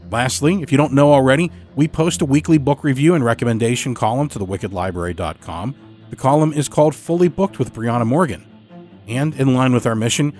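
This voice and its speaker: A male podcast host reads scripted narration for a horror show. His voice is medium-pitched, with music playing behind him. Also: a little mellow.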